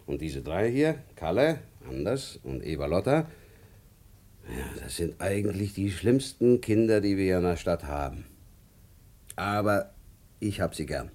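Small town cop in a kids radio play, low voice, fond uncle type, deliberate storyteller. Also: male voice, sing-song, a little mellow, heavily acted. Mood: fond, good-natured. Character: fond, good-natured small-town policeman, grown man. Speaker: narrator character. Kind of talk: German children's radio play acting.

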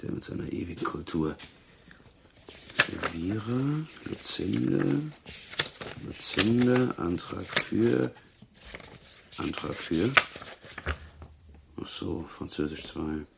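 A man's voice: sits low, lively intonation, slow, vocal fry, long pauses, very noisy, heavily acted. A man acting in a radio crime drama as a crude, jovial, uneducated prison cellmate who talks in a pushy, teasing, colloquial way. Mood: nosy, mocking.

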